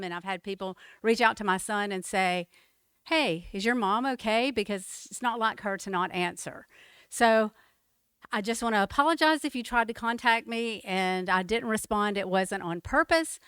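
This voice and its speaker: A woman's voice: medium pitch, a bit bright, clean tone, no echo. A middle-aged woman, founder of a group, giving an earnest, chatty talk at a meeting. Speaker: guest speaker and group founder.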